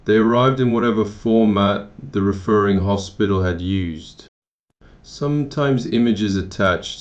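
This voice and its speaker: A man from Taiwan narrating his own memoir in a measured, matter-of-fact way, with occasional restarts. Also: lowish voice, darkish tone, highs cut off. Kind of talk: first-person memoir narration.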